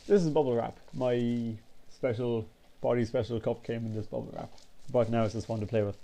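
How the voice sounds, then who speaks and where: A man's voice: medium pitch, brisk. A man, congested and sniffly, rambling casually in a vlog. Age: 20s. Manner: rambling, relaxed, casual swearing. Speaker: vlogger. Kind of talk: casual chat.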